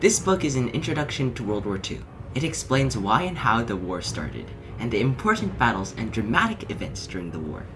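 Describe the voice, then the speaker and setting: A man's voice: medium pitch, very animated, fairly quick, over music, rough recording. A man reading a scripted educational narration in short, emphatic phrases. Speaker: audiobook narrator.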